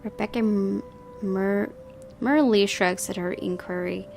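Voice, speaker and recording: A woman with a medium-pitched voice reads a horror visual novel aloud and adds her own commentary. Faint music plays behind her. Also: fairly even intonation, a little slow, creaky, darkish tone. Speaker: gaming video host.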